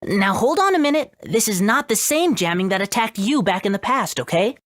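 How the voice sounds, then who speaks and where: Lady doing a friendly lil game scientist critter, showy and excitable, pitch all over, dry recording. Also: a little fast, dry recording, lightly acted. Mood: reassuring. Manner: showy, emphatic, enthusiastic. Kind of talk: English video game voice acting.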